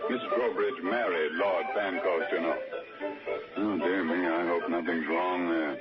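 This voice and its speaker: An actor playing a polite, fussy headmaster in a 1960s radio drama, hesitant and apologetic. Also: male voice, low voice, steady tone, unhurried, over loud music. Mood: flustered. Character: flustered, polite, fussy English boarding-school headmaster. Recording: muffled, noisy old radio broadcast.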